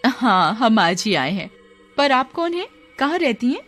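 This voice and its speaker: Narrator as young cartoon wife, female, anxious, easily scared, high, swooping pitch, music behind. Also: big pitch swings, a little slow, fairly bright tone. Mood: friendly. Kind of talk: cartoon horror story acting.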